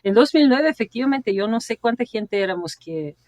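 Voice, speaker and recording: A woman's voice: fairly high pitch, expressive, normal speed, a little mellow, no echo. A woman in her forties from Bulgaria, speaking as an interview guest. She tells stories animatedly, tags nearly every phrase with a questioning "no", and laughs as she talks. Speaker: interview guest, sinologist and translator. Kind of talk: conversational interview storytelling.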